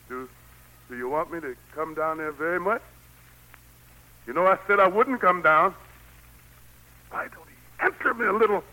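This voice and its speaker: A man's voice: high-pitched, sharp tone, heavily acted, poor audio. An actor playing a weary, stubborn, fatherly God in an old radio drama, with a grave manner. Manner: folksy Southern dialect, grave and authoritative. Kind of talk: 1940s radio drama acting. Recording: old 1940s radio broadcast recording.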